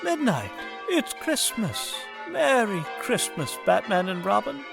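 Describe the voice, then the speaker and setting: An actor playing a kindly, grateful doctor in an earnest, melodramatic old-time radio parody. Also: male voice, sits high, sing-song, slow pace, gravelly, over music, exaggerated voice.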